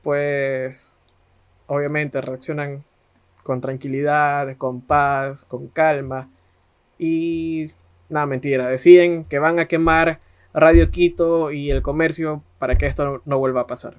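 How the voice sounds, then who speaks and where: A man's voice: on the high side, little pitch movement, slow pace, clear, resonant tone. A man co-hosting a podcast, telling a story in a conversational way. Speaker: podcast co-host.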